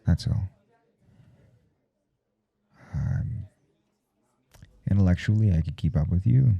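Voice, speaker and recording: A man playing a nervous, smitten professor in an intimate ASMR roleplay, husky and halting, stammering coyly as he speaks. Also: lo-fi sound, exaggerated voice. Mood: tender confessing. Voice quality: husky, dark low murmur. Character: nervous, smitten male professor confessing forbidden attraction. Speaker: audio roleplay performer.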